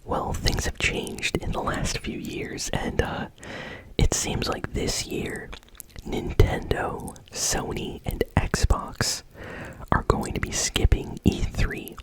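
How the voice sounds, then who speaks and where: Breathy whisper, unvoiced, ASMR host, casual gaming news commentary, conversational and chatty. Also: takes it slowly, rough recording.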